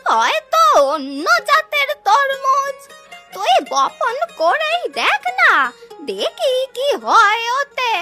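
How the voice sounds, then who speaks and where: A woman's voice: very high voice, big pitch swings, slow pace, music in the background, heavily acted. A woman voicing an old village grandmother in a cartoon story, bossy and scolding but kindly, with emphatic, admonishing advice. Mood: firm, encouraging. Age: older. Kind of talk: Bengali cartoon story dubbing.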